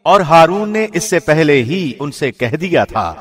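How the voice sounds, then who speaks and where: A man reads a scripture translation in a solemn, measured and formal way, like a professional radio presenter. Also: fairly high pitch, very animated, a bit bright, faint music behind.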